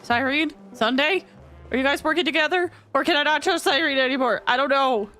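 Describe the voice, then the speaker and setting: A woman's voice: high-pitched, a little fast, pushed and bright, soft background music. A woman live-streaming a game, chatting in reaction to it and reading dialogue choices aloud.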